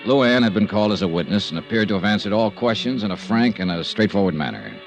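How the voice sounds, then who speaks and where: A man's voice: fairly low pitch, animated, fast, muffled recording, music in the background. An American man acting a skeptical, dogged insurance investigator in an old-time radio detective drama, with a probing, clipped, wry way of talking. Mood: brooding narration. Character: skeptical, dogged male insurance investigator, hard-boiled and dry. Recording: vintage radio recording.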